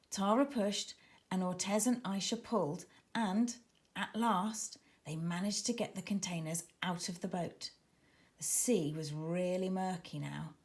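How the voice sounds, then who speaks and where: A woman reading her children's book aloud as a dramatic, suspenseful and expressive narrator. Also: medium pitch. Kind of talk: expressive scripted story narration.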